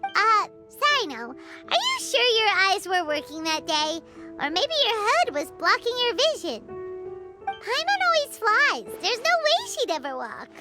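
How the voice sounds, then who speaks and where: A woman's voice: extremely high pitch, big pitch swings, unhurried, music in the background, exaggerated voice. A woman voicing a small flying fairy companion in a video game, childlike, chirpy and boastful, exclaiming about herself in the third person. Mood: indignant. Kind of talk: English video game voice acting.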